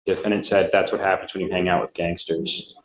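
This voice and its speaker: A man reporting formally to a judge as prosecutor in an echoey courtroom, halting and matter-of-fact. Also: low-pitched, little pitch movement. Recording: echoey courtroom, faint noise.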